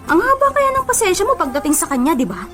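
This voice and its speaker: A woman acting a teasing, bossy young female friend who gives blunt advice in a Filipino radio drama, with animated scolding banter. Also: very high voice, fast talker, exaggerated voice. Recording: prominent music behind voice.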